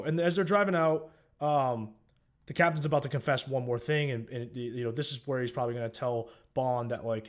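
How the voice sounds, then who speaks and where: A young man co-hosting a casual TV recap podcast, retelling scenes with lots of fillers and restarts. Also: mid-range voice. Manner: animated storytelling with many fillers and restarts. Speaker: podcast co-host.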